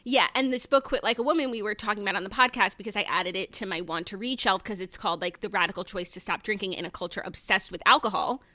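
A woman's voice: mid-range voice, fast talker, few pauses, sharp tone. A woman co-hosting a podcast in casual chat, earnest and enthusiastic. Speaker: podcast co-host.

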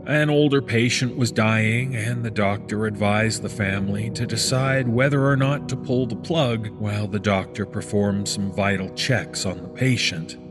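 A man reading a scripted first-person horror story in a measured, deliberate storytelling manner. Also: fairly low pitch. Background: background music.

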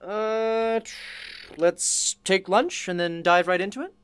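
A woman's voice: mid-range voice, slow pace, clear, resonant tone, dry recording, close to an everyday voice. A woman playing an enthusiastic, sympathetic radio interviewer in an audio drama, effusive and earnest in manner. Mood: casual. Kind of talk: radio play acting.

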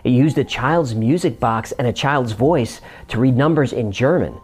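A man's voice: medium pitch, moderate pace, music in the background. A man narrating a scripted explainer as host, with a wry manner and dry asides.

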